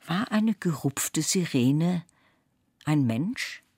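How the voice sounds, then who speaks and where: A reader giving a slow, measured literary reading with dramatic pauses. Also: mid-range voice, lively intonation, over music.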